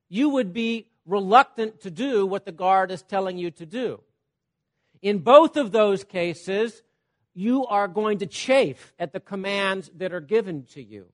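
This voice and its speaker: Man, high voice, preacher, sermon, animated, loud and soft swings. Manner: animated, wide swings between loud emphasis and soft asides; repeats "Okay?" to check on listeners.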